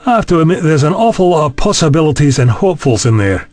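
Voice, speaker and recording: A man's voice: a little high, sing-song, fast, a little mellow, no echo, faint music behind. A man playing a sceptical, plain-spoken and anxious human ally in an audio drama, questioning and wry.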